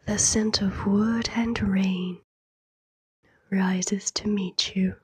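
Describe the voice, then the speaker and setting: A soft-spoken woman guides a sleep meditation in a very breathy whisper. Her tone is mellow and soothing, and she moves extremely slowly, leaving long pauses between short phrases. The sound is close and dry. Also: mid-range voice, steady tone, no room sound.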